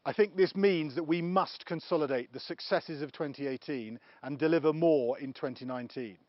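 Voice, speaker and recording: A man giving a formal interview statement in a measured, official way. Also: fairly high pitch, no echo, muffled recording.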